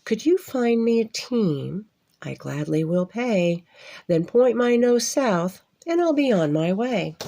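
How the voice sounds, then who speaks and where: A woman's voice: fairly high pitch, sing-song, takes it slowly, gravelly, dark tone, pitched above the performer's own voice, full character voice. Woman reading as a jolly, folksy little old man. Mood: brisk, cheerful. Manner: folksy cowboy phrasing, cheerful storytelling. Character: jolly, fat little old man, strange Christmas wagon driver.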